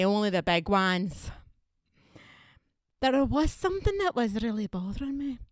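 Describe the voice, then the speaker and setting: A woman's voice: high voice, dry recording, clean studio sound, pitched above the performer's own voice, full character voice. A woman voicing a nosy, blunt Glaswegian woman with a broad Scots accent who gossips in an exaggerated stand-up character bit. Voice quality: broad dialect, sharp exaggerated delivery.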